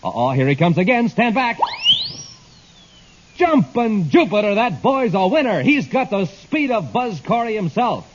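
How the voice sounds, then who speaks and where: A man's voice: high voice, very animated, measured pace. A man with an American accent announcing in 1950s radio style, with a punchy, enthusiastic hard-sell delivery. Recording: dull, hissy old radio recording.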